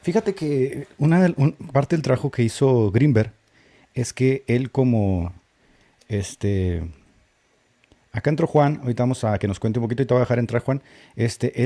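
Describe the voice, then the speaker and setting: A man co-hosts a live discussion. His voice is very dark and mellow, and he talks in a colloquial, emphatic way, often at a quick clip. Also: mid-range voice. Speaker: podcast co-host.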